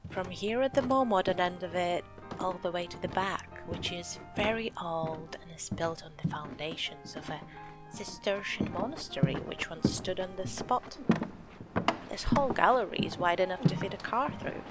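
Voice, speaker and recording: A woman's voice: on the low side, normal speed, natural voice. A woman playing a wealthy, playful host of a country house in an audio drama, self-assured and teasing. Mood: proud. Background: music.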